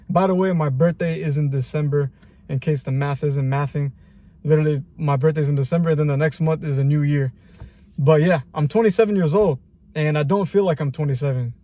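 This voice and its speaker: A man's voice: a little high, mellow. A man in his twenties talking casually and reflectively to camera in a rambling personal vlog. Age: 20s. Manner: reflective, rambling, slangy with repeated phrases. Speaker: vlogger.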